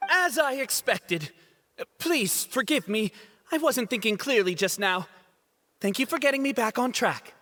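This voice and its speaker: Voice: mid-range voice, lively intonation, measured pace, fairly bright tone. A performer playing an earnest, proud young academy student in a video game, polite and easily flustered, with reverb on the voice. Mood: gratified. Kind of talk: animated video game voice acting. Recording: long hall-like reverb.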